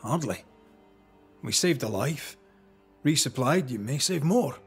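An actor playing a resolute, principled ghost hunter in a video game, speaking in a measured, firm and pointed way. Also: male voice, medium pitch, expressive, slightly rough, soft background music, heavily acted. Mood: firm resolve. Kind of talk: dramatic video game acting.

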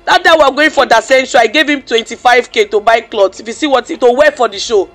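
A man's voice: very high-pitched, quick pace, a bit bright, soft background music. A man with a Nigerian accent complaining in mixed English and Pidgin, indignant, telling his side with rhetorical questions.

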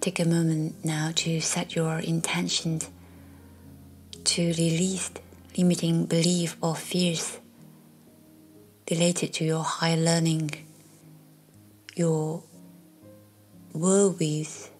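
A woman guiding a meditation in a soft, soothing, breathy voice that often nears a whisper, leaving long pauses. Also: deep voice, little pitch movement. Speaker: meditation guide. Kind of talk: guided meditation narration. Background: faint music.

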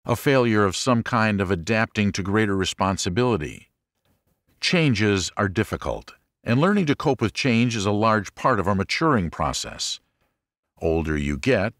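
A man narrating a religious self-help audiobook in a measured, deliberate way with clear phrasing. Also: deep voice, dry recording, studio quality.

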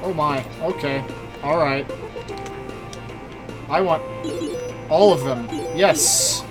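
A gaming video host giving casual, rambling, joking commentary, excitable and given to swearing. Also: medium pitch, takes it slowly. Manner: excitable, with bursts of exclamation. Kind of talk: casual let's play commentary. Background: loud video game music.

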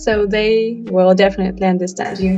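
A woman, speaking on a video call with hesitant pauses and fillers. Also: medium pitch, level tone, vocal fry, mellow, distant mic, soft background music. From eastern Ukraine. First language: Russian. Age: 20s. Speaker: guest. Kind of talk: casual video call chat. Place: home room, indoors. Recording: dull video call audio.

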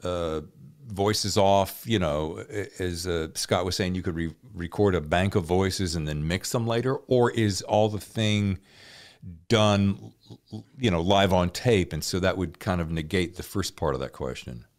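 Very low-voiced podcast host. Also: male voice, unhurried. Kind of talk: casual, chatty interviewing.

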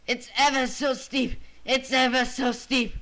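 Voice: sits high, flat delivery, measured pace, pushed and bright, full character voice. An actor voicing a vain, impatient male steam engine in a children's storybook, bossy and given to puffed exclamations. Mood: straining. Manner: puffing repeated exclamations, boastful and bossy. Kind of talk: children's audio storybook with music.

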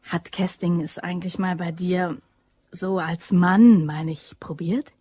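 An actress playing a nervous, eager office worker who is a robber's accomplice in a German crime radio drama. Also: female voice, fairly low pitch, fairly even intonation, measured pace, warm tone, slightly rough. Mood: curious.

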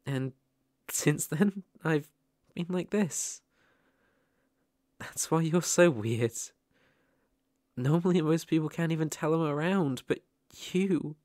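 A young British man playing a shy, sweet, clumsy ghost in an ASMR roleplay, speaking softly and hesitantly close to the mic. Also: a little high, slow pace, pauses often, dry recording. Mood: earnest, wistful. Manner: hesitant, soft-spoken, gentle. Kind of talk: ASMR roleplay, soft intimate acting.

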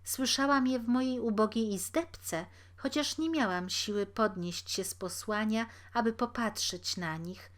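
A woman's voice: a little high, unhurried, few pauses, lightly acted. A woman voicing a humble, sad, pious poor old woman in a storybook tale, speaking meekly and deferentially. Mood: earnest recollection. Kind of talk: storybook character reading.

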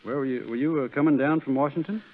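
An actor playing a kindly, patient Southern country doctor in 1930s radio drama, speaking plainly and unhurriedly. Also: male voice, mid-range voice, fast talker, close to an everyday voice. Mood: calm, patient. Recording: muffled, phone-like 1930s radio recording.

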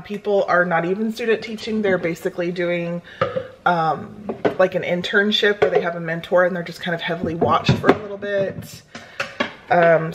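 A woman's voice: lowish voice, noisy background. A woman in her thirties from the United States, chatting casually in a vlog with lots of ums and likes while cleaning her home kitchen. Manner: rambling, many ums and likes. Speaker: vlogger. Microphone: phone at arm's length, later far camera.

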